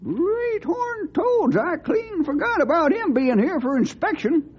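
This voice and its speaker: An actor playing a cranky, folksy old ranch cook with an American accent and rustic cowboy slang in an old radio drama. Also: male voice, very high-pitched, sing-song, measured pace, slight background noise, full character voice. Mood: folksy, grumbling. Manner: rustic cowboy slang, stammering when flustered. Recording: muffled old radio recording.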